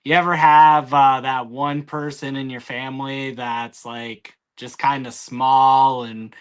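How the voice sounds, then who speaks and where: Man, clear resonant voice, average pitch, casual joking chat, hesitant drawl. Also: little pitch movement, slow.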